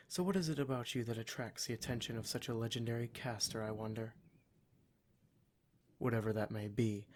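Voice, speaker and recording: A man voicing a smug, menacing demon in an ASMR roleplay, speaking in a measured, formal, condescending way to his captive. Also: medium pitch, darkish tone, natural voice. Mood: disdainful, then coldly threatening. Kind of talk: ASMR roleplay monologue addressed to the listener.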